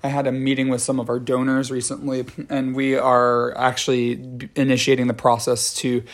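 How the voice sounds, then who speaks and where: A man's voice: mid-range voice, completely flat delivery, normal speed. A man hosting his own dance podcast, chatting casually with lots of ums and restarts, his voice scratchy. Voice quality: scratchy, gravelly voice. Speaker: podcast host and dance choreographer. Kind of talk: casual solo podcast chat.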